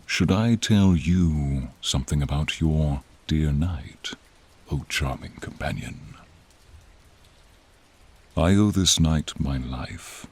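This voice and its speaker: Man as a friendly noble dragon, deep register, slow unhurried delivery, breathy, archaic and solemn, fantasy audio roleplay. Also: a little mellow, exaggerated voice. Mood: welcoming, stately. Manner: slow, archaic, solemn, addressing listener directly. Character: noble friendly male silver dragon, devoted and courtly. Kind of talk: scripted fantasy ASMR roleplay. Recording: rain sound effects behind the voice.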